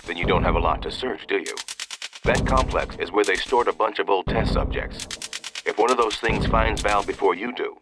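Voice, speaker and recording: Man playing deep-voiced steady mission ally. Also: normal speed, heavy background noise, close to an everyday voice. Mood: grave, urgent. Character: steady male ally guiding the hero by mission briefing. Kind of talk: video game cutscene voice acting.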